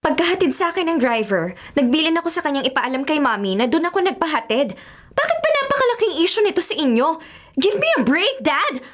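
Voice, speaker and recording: An actress playing a defiant 21-year-old mayor's daughter in a radio drama, whiny and emphatic, with a Filipino accent. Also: female voice, sits high, big pitch swings, fairly quick, fairly bright tone. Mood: indignant. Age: young adult.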